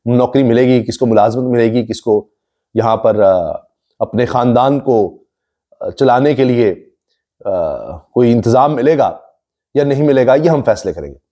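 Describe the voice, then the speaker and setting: Mellow-voiced Pakistani man giving news commentary. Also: medium pitch. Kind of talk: political commentary monologue to camera.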